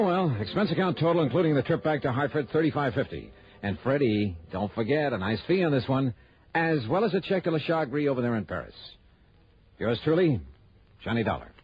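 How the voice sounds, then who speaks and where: An actor playing a tough, sardonic male insurance investigator in a 1960s radio detective drama, bluntly accusing. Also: mid-range voice. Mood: weary, exasperated, then brisk. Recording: muffled old 1960s radio recording.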